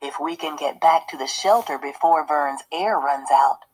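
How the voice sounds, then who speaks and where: A woman's voice: sits low, moderate pace, voice pushed down, poor audio. A woman reading the part of a determined, stubborn young boy in a storybook, resolute and muttering.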